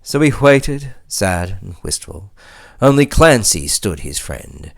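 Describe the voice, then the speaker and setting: A man with an Australian accent reciting a bush ballad theatrically, with emphatic swells and hushed line endings. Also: low voice, big pitch swings, slow pace, creaky. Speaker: poetry reader.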